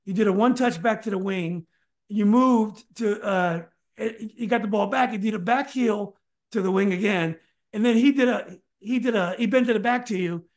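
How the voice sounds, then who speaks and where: A middle-aged man hosts a casual podcast interview over a video call from a book-lined home study. His voice sits high for a man, and he often stops and restarts his questions. He speaks into a desk mic. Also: moderate pace. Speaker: podcast host.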